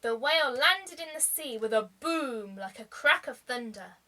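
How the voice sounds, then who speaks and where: A woman reading a children's story aloud like a teacher, expressively, with deliberate dramatic emphasis. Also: high voice, expressive, pushed and bright.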